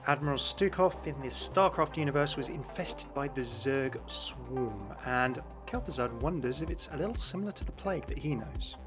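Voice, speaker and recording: A man's voice: medium pitch, lively intonation, moderate pace, few pauses, over music. A man narrating video game lore as the host of a gaming video, in a scripted, explanatory style.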